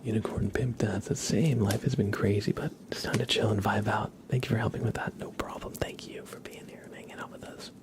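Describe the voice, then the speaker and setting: A man hosting a casual ASMR livestream, chatting in a breathy, gentle, unhurried whisper and softly repeating phrases. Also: on the low side, fairly even intonation. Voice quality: breathy whisper with creaky edges. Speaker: live streamer, ASMR host.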